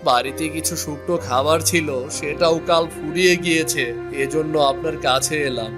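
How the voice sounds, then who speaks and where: A man's voice: a little high, normal speed, music in the background, lightly acted. A man voicing a poor, humble village father who sounds anxious and plaintive in a cartoon story. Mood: pleading. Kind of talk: Bengali cartoon story voice acting.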